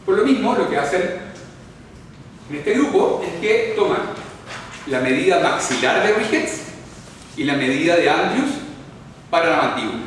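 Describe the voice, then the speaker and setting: A man's voice: fairly high pitch, big pitch swings, normal speed, pauses often, slight background noise. A man from Chile with a Chilean accent giving a live lecture, explaining step by step and often checking in with his audience. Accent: Chilean Spanish. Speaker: orthodontics lecturer. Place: lecture hall. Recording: echoey hall recorded from far off.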